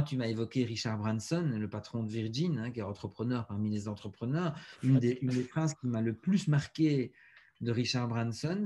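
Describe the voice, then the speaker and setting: A man, a guest on a podcast, telling stories in an animated way and repeating words as he thinks. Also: medium pitch. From Belgium. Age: 40s. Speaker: guest, author and speaker. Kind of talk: casual podcast interview.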